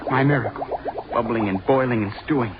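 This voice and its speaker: A man's voice: medium pitch, a little fast, natural voice. Dull noisy old radio, male actor as young unhinged student scientist, obsessive, feverish muttering, melodramatic horror delivery. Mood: feverish, menacing. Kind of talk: vintage radio horror acting.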